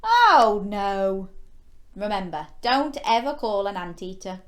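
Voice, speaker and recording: A woman's voice: medium pitch, very animated, takes it slowly, a bit bright. A woman hosting a children's story time, reading a picture book expressively with exclamations and playful questions to young listeners. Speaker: children's storyteller and show host.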